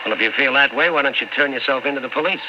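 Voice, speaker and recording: A man's voice: medium pitch, rapid-fire, natural voice. An actor playing an impatient, tough, sceptical city man in an old-time radio drama, brusque and insistent. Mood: dismissive. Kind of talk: old-time radio drama acting with first-person narration. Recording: muffled, noisy old-time radio recording.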